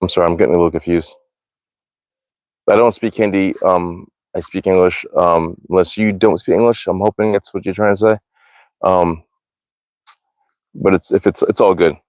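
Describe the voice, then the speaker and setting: A man's voice: sits low, fairly even intonation, moderate pace, pauses often, darkish tone, no room sound, low-quality recording. An American man chatting casually on a livestream, rambling along in an informal, slangy way. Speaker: livestream host, hobby archer. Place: outdoors at a backyard archery range.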